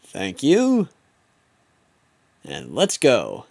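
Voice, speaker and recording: A man's voice: fairly low pitch, huge pitch swings, extremely slow, creaky, a little mellow, husky, no room sound. A young man narrating a home-made comedy film in the first person, with playful, mock-dramatic storybook delivery.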